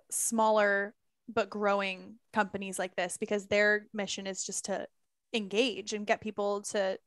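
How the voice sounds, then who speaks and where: A woman hosts a podcast interview in a bubbly, upbeat way, with a voice of middle pitch. The recording is dry and close, with no room sound. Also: no echo. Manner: bubbly, laughs easily, upbeat. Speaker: podcast host.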